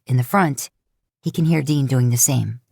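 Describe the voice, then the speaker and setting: A woman narrating a fan fiction audiobook in a steady storytelling manner. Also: extremely low pitch, moderate pace, gravelly, no room sound, studio quality.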